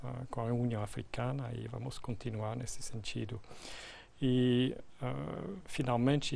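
Gravelly, hesitant man. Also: medium pitch, dark tone, slight background noise. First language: French. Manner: deliberate, hesitant with many fillers. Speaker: UN official, guest. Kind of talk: interview answers.

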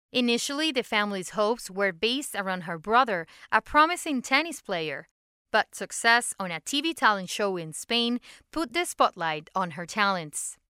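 Bright crisp female podcast host. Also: mid-range voice, no room sound. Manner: clear, even read-through. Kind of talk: scripted podcast narration. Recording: dry studio voice with faint music.

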